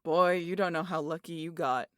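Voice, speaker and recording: A woman's voice: on the low side, fairly even intonation, moderate pace, a bit bright, no room sound, studio quality, lightly acted. A woman voicing a brash, teasing family friend who tells stories in a salty way in an audio roleplay. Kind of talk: audio roleplay acting, animated storytelling.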